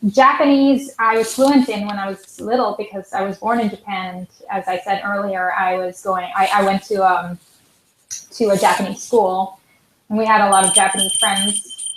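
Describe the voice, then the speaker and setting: A woman's voice: medium pitch, fairly even intonation, normal speed, roomy sound, slight background noise, rough recording. A woman from Switzerland with a Swiss German accent, a guest pianist speaking hesitantly with many fillers in a live online interview.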